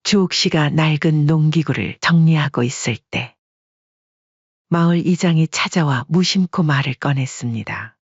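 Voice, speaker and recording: A narrator reading a family drama in calm, measured scripted narration. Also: mid-range voice, husky, no echo, muffled recording.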